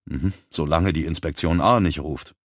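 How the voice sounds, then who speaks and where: Calm detective, read by a man, deep voice, measured, dry close sound. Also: no echo. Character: calm male police detective, newcomer.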